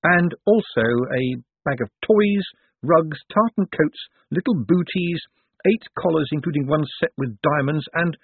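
A man voicing a calm, curt female watch sergeant. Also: on the high side, unhurried, breathy edge, dry recording, narrow, tinny audio, pitched above the performer's own voice.

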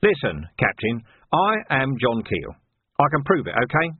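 Man acting a husky, defiant policeman. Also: medium pitch, big pitch swings, moderate pace, no echo, narrow, tinny audio. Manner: blunt, assertive. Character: gruff, defiant, shrewd policeman taking command. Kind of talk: audiobook character acting.

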